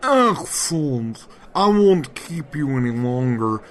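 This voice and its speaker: An actor playing a formal, calculating magician in a comedic fantasy audio drama, with measured and deliberate phrasing. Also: male voice, medium pitch, big pitch swings. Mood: smooth, formal. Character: formal, calculating male magician offering a deal.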